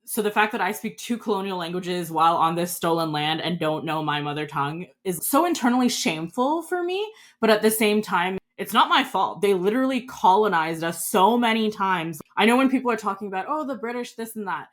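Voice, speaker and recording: Podcast co-host: woman, mid-pitched voice, earnest with wry asides. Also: expressive, brisk. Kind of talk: casual podcast chat.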